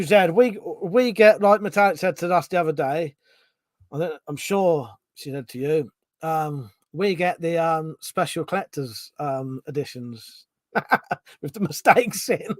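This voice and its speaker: A man's voice: sits high, unhurried, dry recording. A middle-aged man chatting casually on a live stream, reading out chat messages, swearing freely and restarting his sentences.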